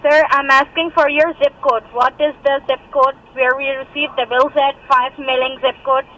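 A woman's voice: high voice, little pitch movement, normal speed, fairly bright tone. A woman on a phone call, repeating scripted demands in an insistent tone. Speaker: scam caller. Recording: muffled phone audio over car speaker.

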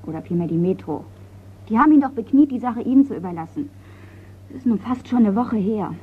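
A woman acting a courteous but forthright niece in a radio crime play. Her voice is fairly high and direct. The recording is thin as a telephone, with faint noise. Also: animated, brisk, narrow, tinny audio, natural voice. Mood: frank, warning. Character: forthright, polite colonel's niece, female.

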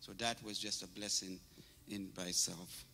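Bright-voiced Trinidad-born man in church. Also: sits low, normal speed, creaky. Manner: warm storytelling, grows animated and laughing. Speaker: church minister giving a tribute. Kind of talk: memorial service tribute. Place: large church sanctuary. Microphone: handheld microphone. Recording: reverberant church hall, faint noise.

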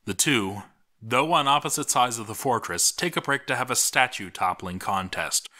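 Bright-voiced man narrating game battles dramatically. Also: mid-range voice, lively intonation, moderate pace. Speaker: narrator of a video game let's read series.

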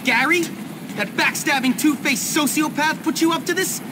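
An actor playing an embittered, combative expelled schoolboy in a video game, blunt and sarcastic, with an American accent. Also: male voice, very high-pitched, normal speed, background noise. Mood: tough, confrontational. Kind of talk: video game cutscene acting.

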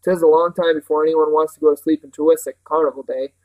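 A man acts out an older village housekeeper from a storybook. She is fond, cheerful and bustling, and she chatters away in homely rustic turns of phrase, pitched fairly high for a male voice. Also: steady tone, fast talker, a little mellow, lightly acted, low-quality recording. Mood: eager, chatty.

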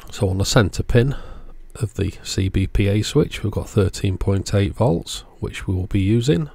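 A man's voice: lowish voice, expressive, moderate pace, gravelly, warm tone, husky, dry recording. A man casually narrating a hands-on repair, explaining each step in a matter-of-fact way. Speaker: host of radio repair channel.

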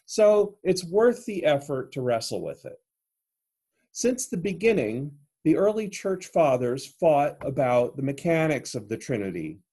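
A middle-aged man preaches an earnest homily from a room at home, speaking into a desk webcam. His voice is mellow and slightly husky, and its pitch rises and falls a great deal as he explains. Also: big pitch swings, a little slow.

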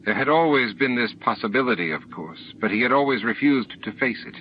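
A man's voice: medium pitch, expressive, brisk. Male audiobook narrator, dull recording. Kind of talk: scripted narration of a novel. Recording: dull, faintly noisy recording.

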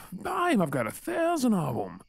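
A man's voice: high-pitched, wildly swooping pitch, measured pace, vocal fry, husky, dry recording, exaggerated voice. A man with an Australian accent playing an exasperated but tender boyfriend in an intimate, conversational audio roleplay.

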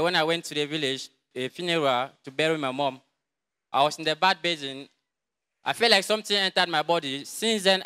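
A young man with a Cameroonian English accent gives a quiet, halting testimony in a large crowded church, speaking into a handheld mic someone else holds. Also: on the high side, clear, resonant tone. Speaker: church member giving testimony. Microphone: handheld mic held by another person.

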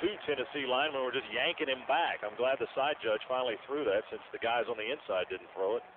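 A man's voice: mid-range voice, fast. Male color commentator on thin, phone-like radio. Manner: partisan, conversational. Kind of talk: live football color commentary. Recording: narrow-band radio broadcast, faint noise.

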